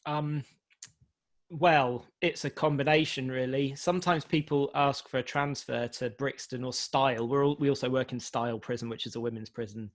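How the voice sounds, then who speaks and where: A man's voice: medium pitch, steady tone, moderate pace, no room sound, clean studio sound. A man speaking on a panel for a radio organisation, explaining hesitantly and often repeating words. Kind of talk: spontaneous panel talk.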